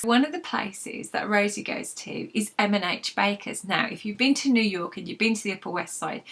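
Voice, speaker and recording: A woman's voice: mid-range voice, sharp tone. A British woman, a novelist, chatting casually to her followers in an anecdotal, self-deprecating way. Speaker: novelist hosting a read-along vlog. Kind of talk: casual chat to followers with book reading.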